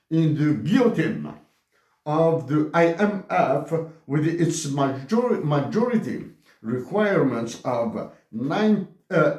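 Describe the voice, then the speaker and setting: Algerian man reading an open letter, slow and deliberate, lively pitch, roomy echo. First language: Tamazight. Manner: slow, emphatic delivery with word restarts. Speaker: commentator. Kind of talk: read-aloud open letter.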